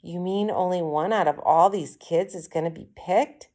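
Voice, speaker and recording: A woman's voice: fairly low pitch, moderate pace, mellow, natural voice. Woman reading as a curious schoolboy. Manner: questioning. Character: curious, eager school-age boy.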